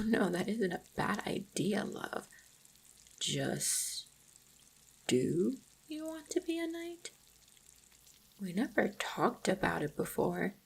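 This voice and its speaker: Woman acting a low, husky, tender orc fiancée. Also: exaggerated voice. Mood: surprised, caring. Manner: tender, reassuring, softly spoken. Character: gentle, devoted female orc hunter, supportive fiancée. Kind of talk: roleplay audio drama, intimate monologue to the listener.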